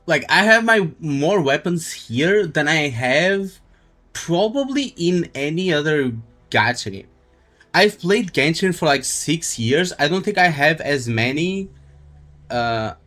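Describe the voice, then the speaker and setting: A man live streaming a game, chatting casually in a halting, rambling way as he thinks aloud. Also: fairly high pitch, animated, sharp tone. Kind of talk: casual gaming stream chat.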